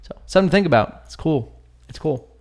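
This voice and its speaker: A man's voice: on the high side, lively intonation, fast talker. A man hosting a gaming channel, giving casual commentary as he analyses a battle, with informal, slangy, joking asides.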